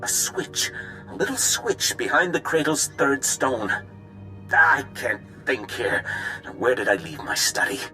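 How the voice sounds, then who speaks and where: A man's voice: mid-range voice, expressive, unhurried, pushed and bright, faint music behind, low-quality recording. A man playing an irritable, paranoid researcher losing his mind in a video game journal, muttering in disjointed bursts. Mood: paranoid, confused. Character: irritable, paranoid male researcher slipping into madness.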